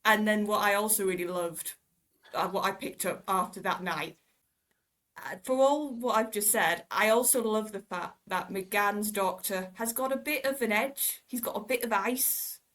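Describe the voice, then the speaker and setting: Woman, medium pitch, casual opinion chat, podcast panelist. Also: moderate pace, a bit bright.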